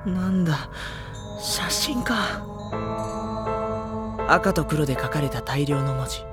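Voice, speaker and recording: A polite level-headed high school boy, dry comebacks, narrates his thoughts, radio drama w loud music under it. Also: lively intonation, slow pace. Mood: alarmed, panicked. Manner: polite speech to his senior, dry retorts. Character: teenage schoolboy, level-headed, exasperated junior to his senior. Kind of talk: Japanese audio drama dialogue with introspective narration.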